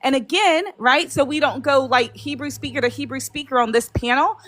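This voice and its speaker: A woman's voice: high voice, brisk, fairly bright tone. A woman debating live online, defending herself and explaining a grammar point step by step in an insistent tone.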